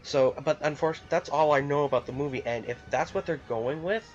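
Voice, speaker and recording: A man's voice: on the high side, fast. A man talking casually and off the cuff as a gaming vlogger, in a rambling, hesitant way with repeated phrases. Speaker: video game YouTuber. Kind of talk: casual unscripted opinion vlog. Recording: dull lo-fi mic, music behind. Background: background music.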